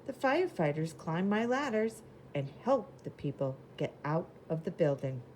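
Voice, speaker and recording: A woman voicing a proud, eager little red fire engine in a children's storybook, with simple, expressive delivery. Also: lowish voice, moderate pace, a little hiss or hum. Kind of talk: children's storybook character narration.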